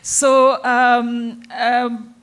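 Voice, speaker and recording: A woman's voice: a little high, flat delivery, extremely slow, fairly bright tone. Hesitant woman moderating a panel. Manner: hesitant, many fillers, searching for words. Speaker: panel facilitator. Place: stage at a literary festival panel.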